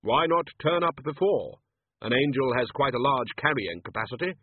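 A man's voice: medium pitch, animated, normal speed, breathy edge, no room sound. A man voicing Death, a terse, commanding male spirit. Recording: tinny lo-fi audio.

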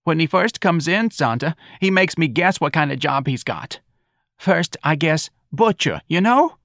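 Casting: aggrieved older New mama done by a male reader, high-pitched, whining, lively swoops, audiobook dialogue. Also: unhurried, dry recording, clean studio sound. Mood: grieving, aggrieved. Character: Irene Riley, plaintive, fretful aging Southern mother.

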